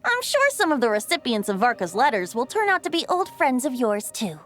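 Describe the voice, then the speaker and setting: A man's voice: very high-pitched, wildly swooping pitch, brisk, exaggerated voice. An actor voicing a breezy, teasing young male bard. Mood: easygoing. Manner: lighthearted, gently teasing. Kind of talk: video game voice acting.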